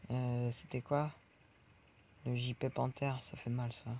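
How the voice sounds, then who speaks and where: A man talking through a tank game for his viewers in a rambling, chatty way. His mid-range voice carries a gravelly, creaky edge. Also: mid-range voice, level tone, unhurried, a little mellow, slight background noise. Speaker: gaming video creator. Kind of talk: let's play commentary.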